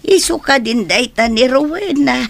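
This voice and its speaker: A woman playing an elderly, gentle mother and grandmother in a radio drama, with a creaky voice. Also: high-pitched, expressive, normal speed, a little hiss or hum. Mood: welcoming.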